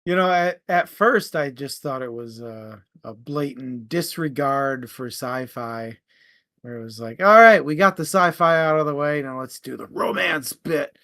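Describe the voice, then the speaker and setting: A man co-hosting a casual book discussion, animated in how he makes his points. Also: mid-range voice, a little slow, dry recording, studio quality. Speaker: book podcast co-host.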